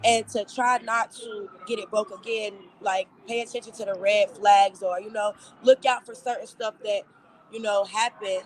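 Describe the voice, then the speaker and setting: A young woman with a raspy voice and a Southern accent, talking about her music in a relaxed interview. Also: mid-range voice, moderate pace, slight background noise, poor audio. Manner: relaxed, filler-heavy, upbeat. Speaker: rapper, interview guest. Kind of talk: casual interview chat.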